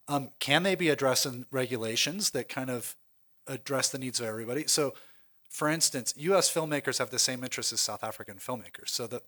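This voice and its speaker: A man's voice: medium pitch, fairly bright tone. American man thinking aloud on a panel. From United States. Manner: thinking aloud, many restarts and fillers. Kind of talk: conference panel discussion. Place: lecture hall at a conference.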